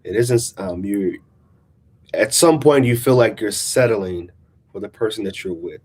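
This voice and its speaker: A man's voice: fairly low pitch, unhurried, a little mellow. A man with a congested, nasal voice talking casually and reflectively as a relationship coach in a vlog. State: congested, recovering from a sinus infection. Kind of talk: casual motivational chat, reading out a subscriber email. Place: small-town coffee shop.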